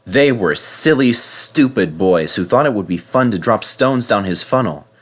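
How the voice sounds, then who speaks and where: A man reading a children's story aloud in an animated, scripted narration style. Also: on the low side, moderate pace, slightly rough. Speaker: storybook reader.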